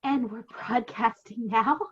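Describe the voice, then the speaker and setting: A high-voiced young woman radio performer acted by a student declaims with panicky urgency, as if making a grave announcement. It sounds like slightly dull video-call audio in a comic play. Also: steady tone, takes it slowly, husky. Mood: alarmed. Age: teens. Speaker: student actor. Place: home room, video call.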